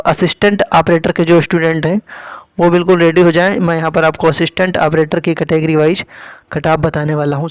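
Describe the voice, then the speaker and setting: A man's voice: a little high, no room sound. A man giving an informal explanatory lesson to students, instructive, repeating key points and often checking in. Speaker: exam-prep teacher presenting expected cutoffs.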